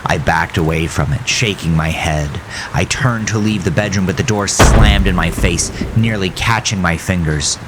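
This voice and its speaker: A man narrating a first-person horror story in a deliberate, suspenseful, dramatic way with a gravelly voice. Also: low voice. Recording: rain and storm noise behind voice. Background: rain, storm ambience.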